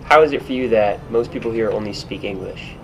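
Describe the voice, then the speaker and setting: A man's voice: lowish voice, creaky, over music, poor audio. A teenage boy, a student reporter, reading a news segment and asking interview questions. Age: teens. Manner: brisk, read-aloud. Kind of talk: scripted news narration.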